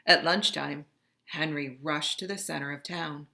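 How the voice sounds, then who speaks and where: Bright-voiced woman reading a storybook slowly. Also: low-pitched, gravelly. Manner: expressive, dramatic reading. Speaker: storybook reader. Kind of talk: read-aloud narration of a children's picture book.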